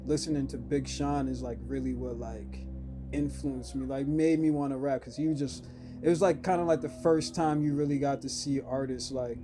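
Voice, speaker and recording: A man's voice: medium pitch, moderate pace, over music. A young man from Massachusetts, a rapper guest chatting casually on a podcast and filling his talk with "like". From Springfield, Massachusetts. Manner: relaxed, laid-back, many filler "like"s.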